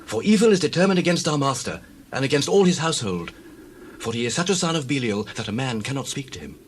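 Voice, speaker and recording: A man plays a young shepherd servant in a biblical audio drama. The delivery is rapid and swooping, earnest and urgent with worry. Faint ambience sits underneath. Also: mid-range voice, sing-song, a little hiss or hum, natural voice. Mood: urgent warning. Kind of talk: dramatized scripture reading.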